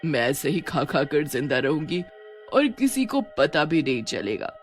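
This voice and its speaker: A woman's voice: low voice, big pitch swings, unhurried, soft background music, pitched below the performer's own voice. Woman voicing a gloating, menacing child-eating witch. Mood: smug relish.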